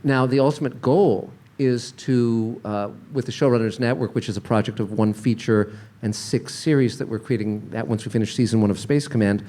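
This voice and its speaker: A man's voice: medium pitch, warm tone. Older male showrunner, casual panel host, stop-start delivery, handheld mic. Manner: halting, many restarts and fillers. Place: convention panel room.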